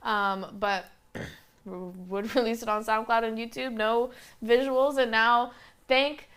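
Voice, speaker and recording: A woman's voice: fairly high pitch, steady tone, takes it slowly, a bit bright, smooth. A young woman in her twenties with a slight Southern twang, hosting her podcast in a chatty, rambling way with lots of fillers. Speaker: podcast host. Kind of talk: casual solo podcast monologue.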